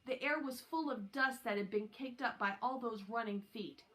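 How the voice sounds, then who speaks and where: A woman's voice: fairly high pitch, moderate pace, a bit bright. A woman narrating a children's novel aloud as a scripted reading. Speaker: audiobook reader.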